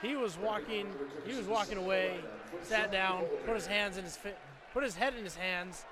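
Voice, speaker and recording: Male color commentator, American, high voice, casual and hesitant, very loud crowd noise all around. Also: normal speed. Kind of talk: casual live sports commentary. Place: courtside in a large basketball arena.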